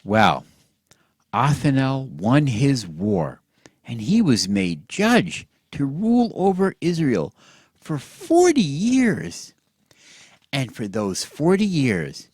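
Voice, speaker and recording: A man telling a satirical bedtime story as if to children, in a theatrical, mock-earnest way with drawn-out words and hushed drops. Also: medium pitch, huge pitch swings, slightly rough, dry recording, clean studio sound.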